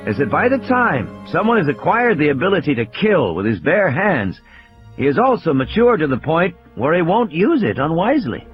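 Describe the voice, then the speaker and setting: A man's voice: a little high, voice pushed up. A man playing an intense male mathematician who lectures in an emphatic, scornful way, in an audiobook drama. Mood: lecturing. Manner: emphatic, didactic.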